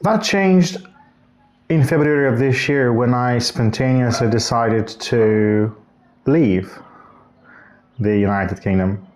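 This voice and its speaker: A man's voice: mid-range voice, takes it slowly, long pauses, a little mellow. A man from Bulgaria, probably in his thirties, talking casually into the camera in a reflective vlog. He wanders through his thoughts and often corrects himself mid-sentence. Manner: reflective, rambling with restarts and self-corrections. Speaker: vlogger.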